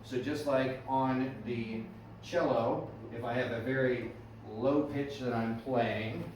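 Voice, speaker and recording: A man's voice: mid-range voice, fairly even intonation, slow pace, a little mellow. A man teaching a music theory workshop to students, often quizzing them and checking in with "right?" and "okay". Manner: friendly questioning of students, frequent "right?" and "okay". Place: music classroom. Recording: echoey room, distant mic, background noise.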